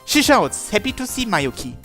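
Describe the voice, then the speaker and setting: A man's voice: high voice, big pitch swings, moderate pace, over loud music. A man hosting a let's-play, reading a visual novel's narration and every character's lines aloud in his own voice.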